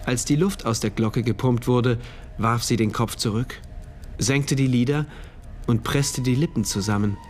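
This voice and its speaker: A male actor playing an introspective, detached diarist, narrating a radio drama in terse, measured phrases. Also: medium pitch, a little mellow, slight background noise, natural voice.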